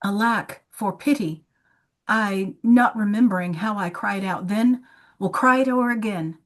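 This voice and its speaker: Woman playing a slow-speaking, dutiful young daughter. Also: mid-range voice, natural voice. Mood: distressed. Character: dutiful young daughter, attentive, tender-hearted. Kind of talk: amateur Shakespeare play reading. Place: online video call.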